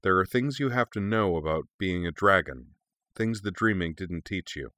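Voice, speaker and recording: A man's voice: low-pitched, lively intonation, moderate pace, sharp tone, dry recording, clean studio sound. A man voicing a stern, commanding elder dragon in a story, grave and curt as he lectures. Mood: grim, stern. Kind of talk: storybook character reading.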